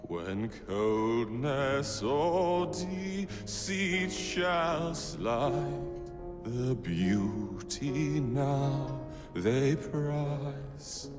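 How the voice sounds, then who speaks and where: An actor playing a cold, grieving middle-aged Templar industrialist who sings softly and gives stern orders. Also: male voice, mid-range voice, animated. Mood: mournful singing. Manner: slow, measured, commanding; sings softly. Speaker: villain. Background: game score music.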